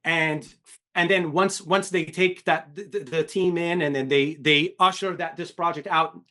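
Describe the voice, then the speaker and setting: Middle-aged man from Syria, animation director type; candid and emphatic, full of repeats, voice sits a bit high. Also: pushed and bright. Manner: emphatic, repetitive restarts, swears. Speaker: animation director, podcast guest. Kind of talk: candid interview talk.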